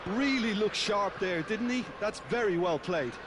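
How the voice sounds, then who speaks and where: High-voiced male football co-commentator, noisy backdrop. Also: lively intonation, normal speed. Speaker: football co-commentator in a video game. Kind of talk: analytical match commentary. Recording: clear game crowd noise behind. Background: football game stadium ambience.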